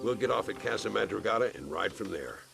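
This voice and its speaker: Gravelly old western gunslinger, proud. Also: male voice, on the low side, lively intonation, fast, music in the background. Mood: defiant, proud. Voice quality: gravelly, husky. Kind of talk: video game western voice acting.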